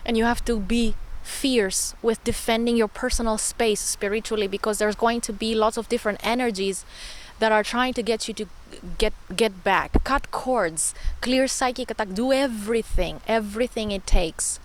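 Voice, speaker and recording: A young woman hosting a spiritual vlog, talking warmly and encouragingly, repeating words and laughing often. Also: on the high side, normal speed, low-quality recording. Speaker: spiritual channel host. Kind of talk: personal spiritual vlog monologue.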